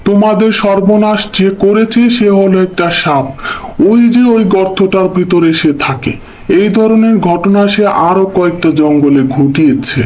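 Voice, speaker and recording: Man voicing a high-pitched, clever cartoon crow. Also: a little mellow, close to an everyday voice, poor audio. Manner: earnest, explanatory. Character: clever, resolute crow leading the forest birds. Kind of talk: Bengali animal fable cartoon dubbing.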